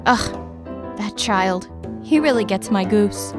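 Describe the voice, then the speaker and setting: A woman's voice: medium pitch, expressive, slow pace, music in the background. A woman playing a fussy, fretful, overprotective mother of a teenage boy in an audio play. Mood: fretful, annoyed.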